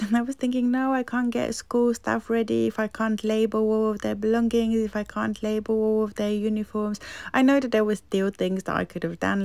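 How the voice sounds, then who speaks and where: Uk mum in her 30s, low slightly croaky voice, chatty and open about stress, dry close sound. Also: darkish tone, no room sound. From United Kingdom. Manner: chatty, candid, talks through her worries. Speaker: vlogger, mum of three. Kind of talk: casual voice-over narration.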